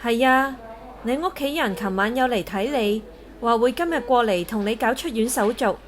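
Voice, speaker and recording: Kindly higher-voiced nurse, played by a woman. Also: normal speed, close to an everyday voice. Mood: kindly, reassuring. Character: kindly, reassuring young female hospital nurse. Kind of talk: Cantonese audio drama acting.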